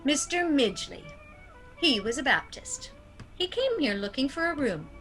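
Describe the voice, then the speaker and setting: A woman playing a sweet, prim elderly aunt in a theatrical radio comedy, genteel and gently scolding. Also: high voice, huge pitch swings, a little slow, faint music behind, heavily acted. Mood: fondly reminiscing. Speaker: actor.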